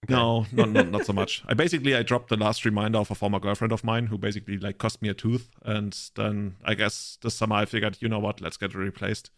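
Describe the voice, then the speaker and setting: A man's voice: lowish voice, fairly even intonation, fast, few pauses, fairly bright tone. A man co-hosting a casual podcast chat, rambling and joking, with many filler words. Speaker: podcast co-host.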